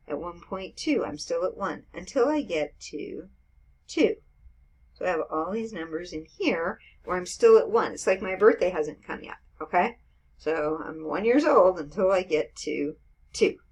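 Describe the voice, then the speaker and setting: Woman, 50s, math teacher, lowish voice, informal lecture with homey examples. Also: slightly rough, low-quality recording. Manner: chatty, explains with everyday examples, frequent "okay" and "right".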